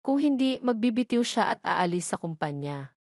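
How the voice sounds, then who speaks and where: A woman narrates a scripted story. Her voice sits at a medium pitch, and the sound is dry, with next to no room around it. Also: sing-song, normal speed, darkish tone, dry recording.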